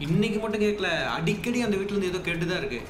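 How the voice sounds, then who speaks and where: A man's voice: sits high, rapid-fire, natural voice. A man playing a hapless young gamer in a motion comic, meek and defensive in a polite way. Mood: sheepish. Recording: echoey, under loud music.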